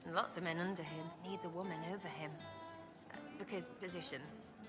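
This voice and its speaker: A woman's voice: lowish voice, fairly even intonation, fairly quick, loud music behind the voice, natural voice. A woman playing a brash, irreverent young English commoner in a video game, talking in blunt, choppy slang. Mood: cheeky. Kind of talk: video game character acting.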